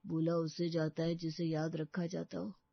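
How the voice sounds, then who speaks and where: A man's voice: a little high, completely flat delivery, unhurried, gravelly, dry recording, muffled recording. A man voicing a heartbroken, self-pitying young lover in a melodramatic audio story. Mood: brooding, heartbroken. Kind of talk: dramatic audio story acting.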